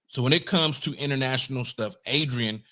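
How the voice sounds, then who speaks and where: Bright-voiced American man hosting an interview. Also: medium pitch, brisk. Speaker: host. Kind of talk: casual interview introduction.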